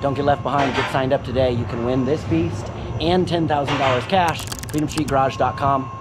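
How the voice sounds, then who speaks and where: A man's voice: brisk, loud music behind the voice. A man in his thirties hosting an upbeat promo vlog, talking with punchy enthusiasm into a handheld action camera outdoors and in a car. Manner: enthusiastic, punchy hype delivery. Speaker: sweepstakes host. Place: outdoors in an alley, then inside a car. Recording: loud car engine and road noise. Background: engine rumble and traffic.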